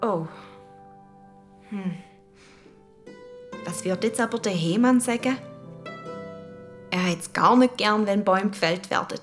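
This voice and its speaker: A woman's voice: mid-range voice, slow pace, pauses often, faint music behind, close to an everyday voice. A young woman with a Swiss German accent playing an earnest, imaginative schoolchild who narrates an adventure in a children's radio play, musing aloud. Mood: wondering.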